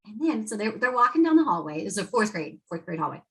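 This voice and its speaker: A woman educator leading a workshop for teachers, talking with lively energy and acting out quotes from students and parents. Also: medium pitch, fast. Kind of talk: presenting to educators.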